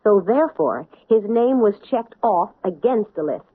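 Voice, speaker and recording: An actress playing a precise, methodical doctor who investigates in an old radio drama. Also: female voice, mid-range voice, lively intonation, moderate pace, slightly rough, no echo. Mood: probing. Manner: precise, reasoning step by step. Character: sharp methodical woman doctor-sleuth. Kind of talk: 1970s radio drama acting. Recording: dry tinny old radio broadcast.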